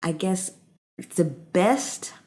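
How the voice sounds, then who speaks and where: A woman's voice: on the low side, animated, slow, darkish tone. A woman from Africa, a podcast guest, talking in an earnest, reflective way with lots of "like" and "you know". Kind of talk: casual conversation.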